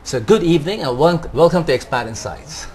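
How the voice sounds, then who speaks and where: A man hosting a TV interview show, opening with a lively scripted welcome and then asking relaxed questions. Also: on the high side, brisk. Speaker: TV talk show host. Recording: faint background noise.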